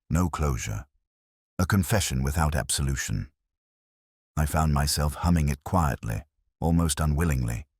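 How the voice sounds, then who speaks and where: A man playing a melancholy, reflective police detective, narrating his case in a measured, literary, brooding manner for a mystery audio drama. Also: a bass voice, husky, dry recording, studio quality, exaggerated voice. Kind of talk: scripted first-person noir-like mystery narration.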